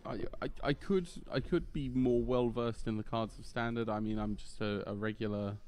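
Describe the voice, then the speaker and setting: A man's voice: medium pitch, fairly even intonation, moderate pace, darkish tone, clear, resonant tone. A man commentating a live game broadcast, rambling and self-deprecating.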